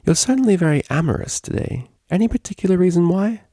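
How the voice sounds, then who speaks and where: A man's voice: sits high, animated, quick pace, dark tone, no room sound, studio quality, voice pushed up. A man voicing a prim, easily exasperated female cellist pony with a proper, wordy, huffy manner in a story reading. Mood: coyly probing.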